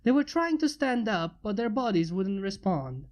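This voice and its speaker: A woman reading fan fiction aloud in a steady narration. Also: mid-range voice, moderate pace. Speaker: fanfic reader. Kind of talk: amateur fanfic narration.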